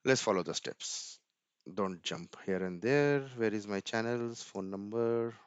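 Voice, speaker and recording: A man's voice: on the low side, unhurried, clean tone, no room sound, lo-fi sound. A man giving an informal screen-share tech walkthrough, thinking aloud hesitantly and often repeating words. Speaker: tutorial presenter.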